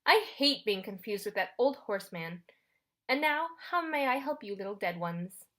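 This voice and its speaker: A woman narrator plays a sinister, elegant male ferryman of the dead from a storybook. He speaks with a British accent in a smooth drawl. His manner is condescending, as if every customer were beneath him. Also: mid-range voice, expressive, moderate pace, pushed and bright.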